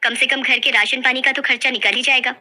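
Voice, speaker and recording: A woman's voice: high-pitched, steady tone, fast talker, pushed and bright. A woman voicing a poor, devoted village wife and mother, pleading and deferential, in a folk story. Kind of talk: dramatized Hindi folk story acting.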